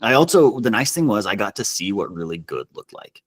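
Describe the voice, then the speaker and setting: A man speaking casually as an interview guest, telling stories in an animated, self-deprecating way. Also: fairly low pitch, brisk, husky. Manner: self-deprecating, chuckles as he talks. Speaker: podcast guest, customer success executive.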